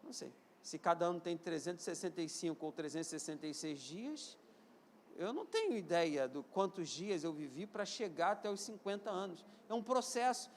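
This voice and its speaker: High-voiced Brazilian pastor in his fifties. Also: slight background noise. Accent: Brazilian, Rio de Janeiro. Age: 50s. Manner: call-and-response preaching, urging the congregation to repeat phrases and say amen; swings between loud emphatic peaks and quieter stretches. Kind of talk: live church sermon.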